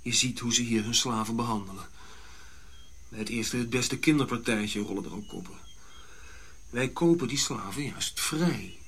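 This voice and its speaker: Husky, brusque colonial general. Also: male voice, mid-range voice, moderate pace, pauses often, a bit bright. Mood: scheming, pragmatic. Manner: commanding, blunt. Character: brusque, scheming Dutch colonial major-general. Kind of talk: radio drama acting.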